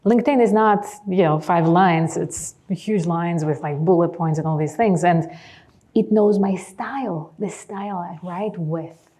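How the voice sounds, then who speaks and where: A woman's voice: on the low side, a little slow, warm tone. A woman from Greece with a Greek accent, speaking enthusiastically as a panelist in a discussion. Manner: enthusiastic, with frequent fillers.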